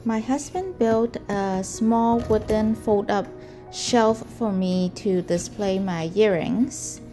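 Slow, gentle woman potter vlogging. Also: mid-range voice. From Canada. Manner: slow, gentle, careful delivery. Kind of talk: calm explanatory vlog narration. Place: home room indoors. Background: background music.

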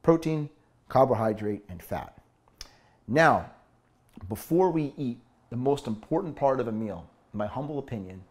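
A man's voice: medium pitch, a little mellow. A man in his thirties, a fitness coach, talking through a meal in his home kitchen, earnest and upbeat, explaining step by step. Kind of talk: cooking demo talk to camera.

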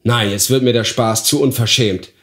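A man's voice: medium pitch, steady tone, moderate pace, reverberant, natural voice. A man voicing an angry market woman, a scolding and indignant mother in a fairy tale. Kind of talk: dramatized fairy-tale audiobook.

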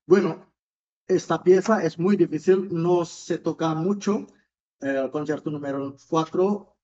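An Indonesian man in his forties, speaking Spanish as a second language with many pauses and fillers, recorded at home in a music room. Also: fairly high pitch, darkish tone. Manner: halting, many filler sounds, gestures while speaking. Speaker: orchestra founder and artistic director. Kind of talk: addressing viewers in a recorded video message. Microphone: webcam or laptop mic at desk distance. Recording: dull lo-fi webcam audio.

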